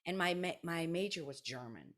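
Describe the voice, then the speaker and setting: A woman's voice: lowish voice, lively intonation, moderate pace. An American woman in her sixties from South Dakota tells personal stories as an interview guest, rambling conversationally and often interrupting herself to quote people. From South Dakota, United States. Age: 60s. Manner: rambling, self-interrupting, anecdotal with quoted dialogue. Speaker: podcast guest, retired kindergarten teacher. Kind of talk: casual conversational storytelling.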